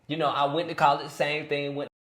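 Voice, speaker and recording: Man, 20s, casual podcast chat, slightly high voice, earnest slangy delivery, echoey room. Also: little pitch movement, brisk, a bit bright. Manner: loose and earnest, peppered with "you feel me" and "bro". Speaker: podcast speaker.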